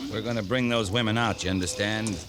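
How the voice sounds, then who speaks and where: A man's voice: lowish voice, fairly even intonation, quick pace, natural voice. A man acting a commanding cavalry captain, noisy old recording. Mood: terse, firm. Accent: American. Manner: clipped, authoritative orders. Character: commanding male cavalry captain, terse and resolute. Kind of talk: 1950s western radio drama acting. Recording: noisy 1950s radio drama recording.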